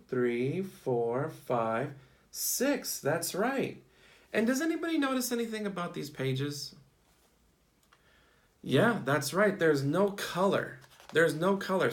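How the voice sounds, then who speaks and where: A man teaching young children through an interactive picture-book read-aloud, asking questions and repeating short words of praise. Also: on the high side.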